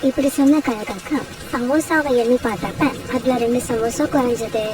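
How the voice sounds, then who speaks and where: High-pitched woman narrating a children's story. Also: moderate pace, music in the background. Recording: distant mic, clear background noise.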